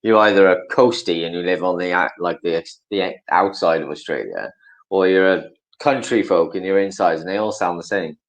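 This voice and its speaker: A man's voice: low voice, normal speed. A man from Wales, a podcast guest in casual, rambling chat. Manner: chatty, rambling, animated impressions.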